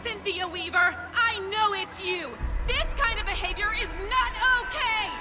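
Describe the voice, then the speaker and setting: A woman's voice: extremely high pitch, measured pace, loud music behind the voice. An actress playing a frantic, scolding woman, crying out shrilly in a game cutscene. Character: frantic, scolding woman calling someone back. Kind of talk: dramatic game cutscene acting.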